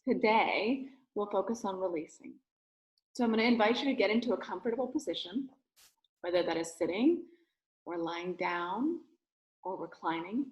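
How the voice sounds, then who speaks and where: A woman speaking as a rabbi leading a guided meditation, giving gentle, soothing instructions in short phrases with pauses. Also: medium pitch, dull audio.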